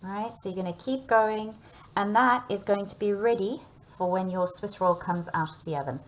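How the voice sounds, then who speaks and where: A woman's voice: mid-range voice, normal speed, low-quality recording. A woman giving a hands-on baking demonstration, talking learners through each step in a chatty, instructional way and often checking in. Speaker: cooking and baking teacher.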